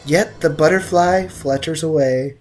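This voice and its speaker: A man's voice: on the high side, a little slow, soft background music. A young man giving casual, slangy game commentary and joking with his livestream chat. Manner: slangy, jokey, theatrical outbursts.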